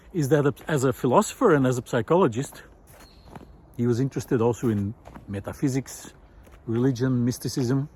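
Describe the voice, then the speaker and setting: A man giving an informal, reflective solo talk in a thoughtful, halting way, pausing deliberately for emphasis. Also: mid-range voice, darkish tone. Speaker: host of a personal audio series.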